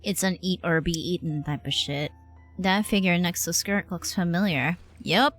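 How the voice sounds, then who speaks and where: A woman with a slightly low voice comments casually on a game she is streaming. She thinks out loud in an informal way, with faint music behind her. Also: moderate pace. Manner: musing aloud, informal. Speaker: game livestreamer. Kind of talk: casual live reaction commentary.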